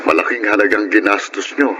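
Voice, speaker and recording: A man's voice: fairly low pitch, brisk, background noise, natural voice. A Filipino man playing a responsible, modest, middle-aged eldest brother in a radio drama. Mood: mildly wary. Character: responsible, modest eldest brother, forty-two, mildly wary.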